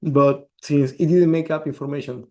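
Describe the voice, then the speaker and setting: A man walking through a software demo step by step on a screen share, often restarting his words. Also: a little high, fairly even intonation, normal speed. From El Salvador. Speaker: developer presenting a demo.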